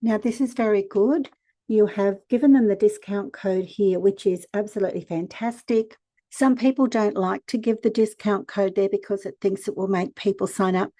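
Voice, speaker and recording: A hoarse woman talks through a casual tutorial in a chatty, direct way. Her voice is mellow and warm, and the recording is close and dry with no room sound. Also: medium pitch, fairly even intonation, moderate pace, dry recording. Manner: chatty, direct, practical advice. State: hoarse from a cold. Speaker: e-commerce consultant and channel host. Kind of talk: casual screen-share tutorial.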